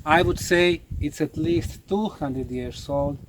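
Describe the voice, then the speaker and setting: A man lecturing on location as a documentary presenter, speaking emphatically and deliberately. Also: a little high, noisy background. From Bosnia. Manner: emphatic, deliberate, asks rhetorical questions.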